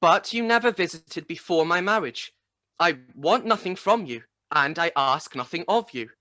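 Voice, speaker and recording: An actor playing a cheerful, kind-hearted young gentleman in a radio play, earnest, pleading and good-humoured. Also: on the high side, animated, normal speed. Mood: earnest, undeterred.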